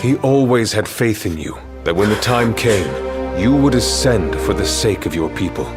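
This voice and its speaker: An actor playing a stern, dutiful middle-aged royal marshal with solemn, formal delivery in a game cutscene. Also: male voice, fairly low pitch, animated, normal speed, loud music behind the voice, lightly acted. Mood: grave, gentle. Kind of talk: video game cutscene acting.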